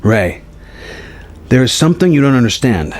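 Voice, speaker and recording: A man voicing a weary, resolute Jedi master in a story reading, explaining things in a measured, cryptic way. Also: mid-range voice, sing-song, darkish tone. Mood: calm, foreboding. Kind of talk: acted dialogue within story narration. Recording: music behind the voice.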